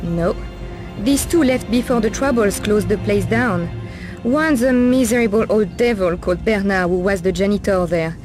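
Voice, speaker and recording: A woman's voice: mid-range voice, normal speed, over music, natural voice. A woman playing a world-weary, streetwise Parisian streetwalker in a video game, knowing, familiar and sardonic. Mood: gossipy, knowing. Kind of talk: video game cutscene acting.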